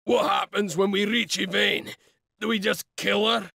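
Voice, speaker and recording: An actor playing a wild wolf clan warrior in a fantasy game, with a strained, raspy, manic voice. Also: male voice, high-pitched, fairly even intonation, normal speed, pushed and bright, a little hiss or hum, heavily acted. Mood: eager. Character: wild, maniacal male wolf clan warrior, eager to kill. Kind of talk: dramatic fantasy game voice acting.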